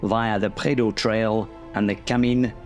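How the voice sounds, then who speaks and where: A man giving measured, scripted documentary narration about a mountain race. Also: on the low side, little pitch movement. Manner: measured, informative. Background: music.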